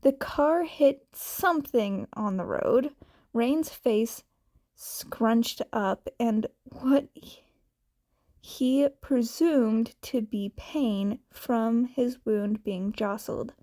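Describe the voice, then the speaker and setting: Slow-reading woman narrator, higher voice. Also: mellow. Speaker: fan fiction narrator. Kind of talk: scripted story narration.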